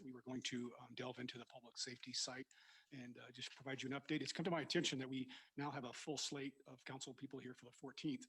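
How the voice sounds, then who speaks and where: A man's voice: medium pitch, a bit bright, breathy edge, low-quality recording. A man reporting as town manager at a council meeting, speaking politely and deferentially to the mayor. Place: council chamber.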